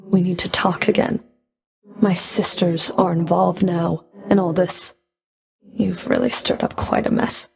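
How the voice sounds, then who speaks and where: A woman playing a stern, proud female Fury of the Greek underworld in a video game, speaking in a cool, clipped, disdainful way with a gravelly edge. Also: mid-range voice, darkish tone. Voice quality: gravelly, creaky. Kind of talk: scripted video game character dialogue.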